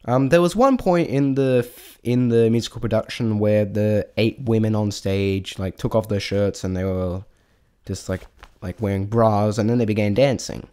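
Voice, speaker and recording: Casting: male amateur reviewer, lowish voice, stammering, unscripted, loses his thread and starts again. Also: moderate pace, darkish tone, smooth. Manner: hesitant, stammering, often breaking off mid-thought. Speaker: amateur reviewer sharing opinions. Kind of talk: unscripted, rambling spoken review.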